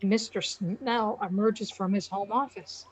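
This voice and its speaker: A woman's voice: medium pitch, fairly even intonation, a little slow. A woman reading scripted narration for a radio comedy. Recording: noisy audio with clicks.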